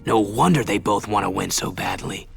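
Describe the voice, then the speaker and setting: A man's voice: lowish voice, normal speed, gravelly, over music. A man playing a tough young combat racer in a video game, terse and stoic. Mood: grim. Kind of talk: video game cutscene acting.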